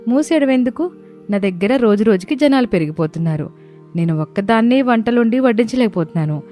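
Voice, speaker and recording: A woman's voice: a little high, lively intonation, fairly quick, warm tone, soft background music, voice pushed up, natural voice. Woman acting a motherly village elder sister-in-law. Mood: kindly, reassuring. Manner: motherly, reassuring.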